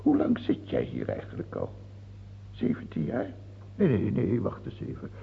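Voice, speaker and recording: An actor playing a cruel, mocking and capricious king in a radio drama, imperious, husky and gravelly. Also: lowish voice, huge pitch swings, normal speed, heavily acted. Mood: taunting, musing. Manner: imperious, taunting, hesitating then outbursting. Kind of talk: theatrical radio drama acting. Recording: muffled, phone-like old radio play recording.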